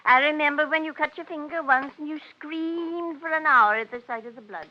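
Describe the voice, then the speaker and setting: A woman's voice: sits high, brisk, close to an everyday voice. A woman playing a plain-spoken household cook in a radio drama, indulgent but firm and bustling. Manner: bustling, deferential yet firm. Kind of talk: 1940s-50s radio drama acting. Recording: dull old radio broadcast.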